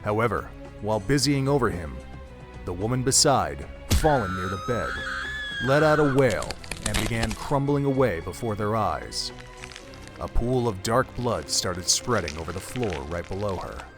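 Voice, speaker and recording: A man's voice: lowish voice, lively intonation, moderate pace. A man reading scripted narration for an audio drama in a dramatic storytelling manner. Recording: music under the narration.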